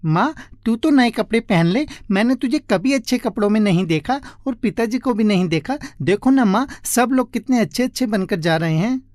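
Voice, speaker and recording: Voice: mid-range voice, expressive, moderate pace, hardly any pauses, darkish tone, no echo, close to an everyday voice. A performer voicing a poor little boy in a moral story, eager and insistent, with a whining way of talking. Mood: pleading.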